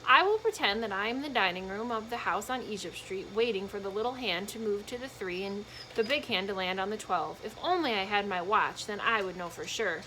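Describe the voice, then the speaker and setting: A woman's voice: mid-range voice, fairly quick, few pauses, noisy background, close to an everyday voice. A woman voicing a proud, lost toy rabbit in a storybook, speaking his thoughts formally and earnestly. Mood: wistful. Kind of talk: storybook read-aloud.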